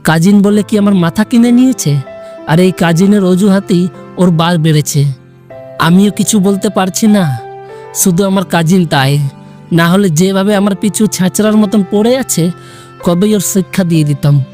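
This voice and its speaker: A man's voice: high-pitched, moderate pace, a little mellow, faint music behind, natural voice. A man playing an irritable, curt young man in an audio story, scolding and exasperated. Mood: scornful. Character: irritable young man pestered by his lovestruck cousin. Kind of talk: Bengali audio story acting.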